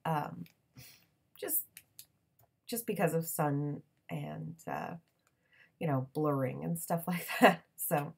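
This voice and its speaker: A woman in her thirties hosting a crafting channel, chatting casually in a voiceover with a raspy morning voice, full of ums and little laughs. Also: deep voice, wildly swooping pitch, slow, long pauses, darkish tone.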